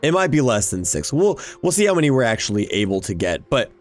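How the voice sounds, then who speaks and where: A man's voice: medium pitch, big pitch swings, fast talker. A man giving casual, enthusiastic gameplay commentary, chatting and rambling as he hosts. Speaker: video game YouTuber, host. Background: background music.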